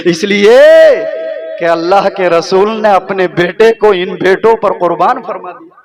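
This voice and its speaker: A man preaching a sermon with rhetorical repetition, his voice rising to shouts. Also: sits high, fairly even intonation, a little slow. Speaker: Islamic preacher. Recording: live gathering with crowd behind. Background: crowd.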